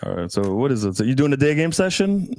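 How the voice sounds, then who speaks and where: A man's voice: medium pitch, very animated, fast, darkish tone, no echo. A man hosting a casual podcast chat, brash and joking, pushing crude advice. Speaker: podcast host.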